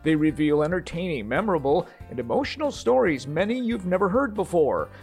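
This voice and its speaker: A man's voice: fairly high pitch, big pitch swings, fairly quick, darkish tone. A male podcast host reading a scripted, polished introduction. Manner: polished announcer read. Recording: faint music bed under voice. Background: faint music.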